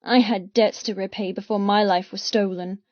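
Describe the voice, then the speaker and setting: A woman reading dramatic first-person audiobook narration in an intense, deliberate manner. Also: mid-range voice, fairly even intonation, dry recording, dull audio.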